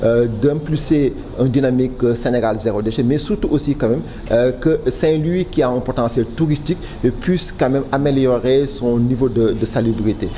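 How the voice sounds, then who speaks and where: Man, Senegalese accent, mid pitch, formal official interviewee, hesitant phrasing, noisy recording. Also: dark tone. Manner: halting, with frequent hesitations and repeated words. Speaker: official spokesman for a public waste management project. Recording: clearly noisy background ambience.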